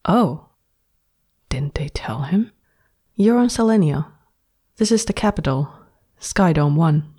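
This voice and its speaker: A woman's voice: low-pitched, lively intonation, slow, pauses often, warm tone, breathy edge, full character voice. A woman voicing a cheerful, bossy young woman who talks sweetly and patronizingly to her new pet. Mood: bright, condescending.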